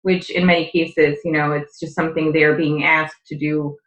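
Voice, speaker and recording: A woman's voice: low-pitched, level tone, moderate pace, a bit bright, echoey room, dull audio. A woman who grew up speaking Czech, a guest on a podcast, explaining things earnestly with many fillers. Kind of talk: conversational interview.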